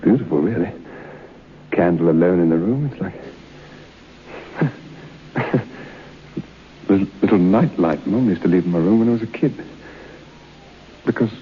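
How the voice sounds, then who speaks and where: An actor playing a proud, unnerved man trapped alone in a dark room, muttering to himself with forced bravado in an old-time radio drama. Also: low-pitched, measured pace, pauses often. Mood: wistful, muttering. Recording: dull, noisy old radio broadcast.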